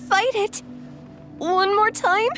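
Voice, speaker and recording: Anxious, very high-voiced warrior girl. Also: steady tone, slow pace, a bit bright, faint music behind, exaggerated voice. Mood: grim resolve. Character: traumatised young warrior girl, anxious protective big sister. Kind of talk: video game voice acting.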